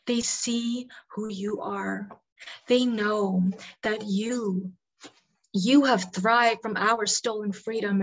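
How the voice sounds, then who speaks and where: Woman reading a poem at open mic, slow, emphatic, medium pitch. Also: fairly bright tone, low-quality recording. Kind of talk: poetry reading.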